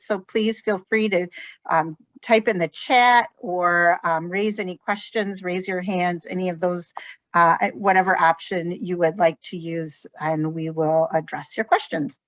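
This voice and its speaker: A woman's voice: lowish voice, steady tone, unhurried, dry recording. A woman hosting a webinar, introducing the next speaker with many fillers. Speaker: webinar host. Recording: dry, dull video-call audio.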